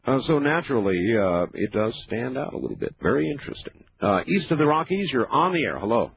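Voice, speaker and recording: A man of fifty hosting a late-night call-in radio show, explaining in a measured way with many pauses. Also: mid-range voice, sing-song, creaky, a little hiss or hum. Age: 50s. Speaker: radio talk show host. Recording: dull lo-fi radio broadcast.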